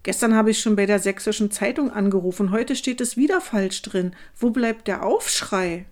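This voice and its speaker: A woman's voice: mid-range voice, lively intonation, normal speed, natural voice. An actress voicing an outspoken, questioning woman in a radio play collage of social media comments, with a pointed, wry and articulate delivery. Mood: frustrated. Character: outspoken working mother and teacher, questioning, wry. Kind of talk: radio play collage reading of online posts.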